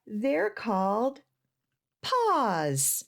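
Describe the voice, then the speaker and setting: A woman voicing a helpful, obliging shopkeeper in a children's storybook, with a measured salesperson's manner. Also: fairly high pitch, huge pitch swings, gravelly, full character voice.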